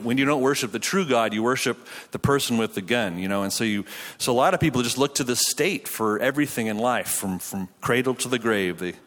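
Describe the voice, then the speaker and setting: A man's voice: lowish voice, a bit bright. Canadian man preaching in a reverberant hall. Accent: Canadian English. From Quebec, Canada. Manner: joking, self-deprecating, informal. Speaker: pastor. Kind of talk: conversational sermon to a live audience. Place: large hall, speaking to an audience.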